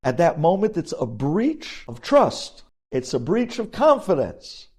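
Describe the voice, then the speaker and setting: A man speaks as a rabbi delivering a religious lecture. His voice is dark and mellow, without sharp edges. He drives points home with emphasis and repetition, dropping Hebrew terms into his sentences. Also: fairly high pitch, huge pitch swings, unhurried, husky. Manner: emphatic, repeats key phrases for weight; mixes Hebrew terms into English. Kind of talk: sermon-like Torah lecture.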